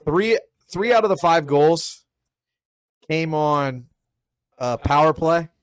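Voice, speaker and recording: A man's voice: fairly high pitch, lively intonation, slow, smooth, no room sound. A man co-hosting a casual podcast, rambling through hockey talk and swearing freely. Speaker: podcast co-host. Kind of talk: casual chat.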